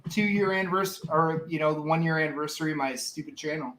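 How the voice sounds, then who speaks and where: A man's voice: on the high side, fast, crowd noise. A man in his late thirties hosting a casual group livestream chat. He jokes, swears freely and repeats himself as he talks. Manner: loud, joking, swears freely, repeats words. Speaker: host of a bourbon livestream. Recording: echoey room with faint background noise.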